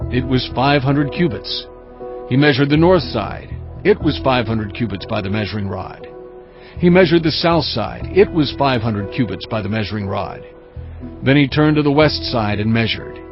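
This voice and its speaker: An actor playing a prophet who recounts his vision in measured, solemn, earnest scripture narration. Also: mid-range voice, natural voice. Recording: dull sound over music bed.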